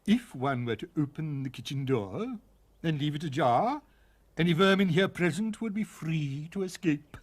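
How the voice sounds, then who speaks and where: A man voicing a pompous, long-winded male parson who talks slowly and grandly. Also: a little high, expressive. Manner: pompous, wordy, slow and measured.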